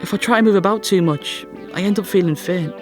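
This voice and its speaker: An actor playing a sickly, self-pitying teenage schoolboy with a North East English accent in an audio drama monologue, glum and sardonic. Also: male voice, high voice, fairly quick, soft background music, natural voice. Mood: miserable, self-pitying. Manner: glum, complaining, melodramatic.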